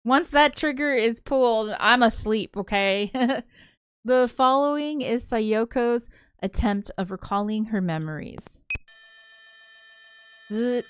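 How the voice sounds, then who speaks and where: A woman's voice: on the high side, lively intonation, slow pace, clear, resonant tone, dry recording. A woman streaming a visual novel, reading it aloud in a casual voice and chatting with informal, giggly asides. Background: game soundtrack music.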